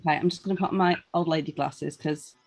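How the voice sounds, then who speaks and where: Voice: mid-range voice, steady tone, quick pace. A co-host in a casual podcast chat, trading jokey banter and reading out listener questions.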